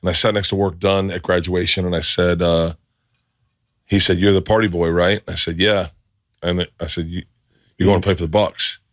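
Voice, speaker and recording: Middle-aged male podcast host, lowish voice, animated storyteller who acts out quotes, dry close sound. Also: moderate pace, no room sound. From Tampa, Florida. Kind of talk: casual storytelling chat.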